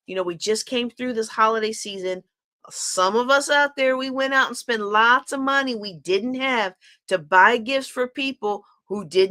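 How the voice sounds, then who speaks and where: A woman's voice: mid-range voice, fairly bright tone. A middle-aged woman coach giving a candid live-streamed motivational talk, repeating phrases and asking her viewers questions. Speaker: life coach.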